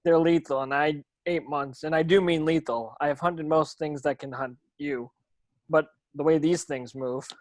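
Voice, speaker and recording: A man playing a grim, intense game warden in his forties at a script table read, grave and deliberate. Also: on the high side, no room sound, close to an everyday voice. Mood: grim, warning.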